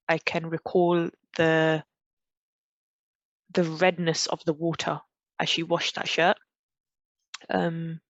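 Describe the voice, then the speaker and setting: A woman from Pakistan, a podcast guest telling a personal story in a reflective, halting way with frequent restarts. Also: lowish voice, no echo. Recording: dull, dry, lo-fi recording.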